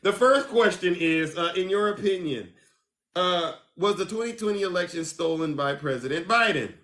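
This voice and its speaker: A man hosting a live call-in show, theatrical, with a booming announcer style. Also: lively intonation, normal speed, fairly bright tone. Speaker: show host.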